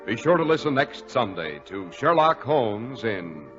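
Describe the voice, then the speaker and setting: A man's voice: medium pitch, sing-song, unhurried, a bit bright. A man, a formal, polished host reading scripted announcements in 1940s radio style. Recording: muffled 1940s radio broadcast. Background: organ music.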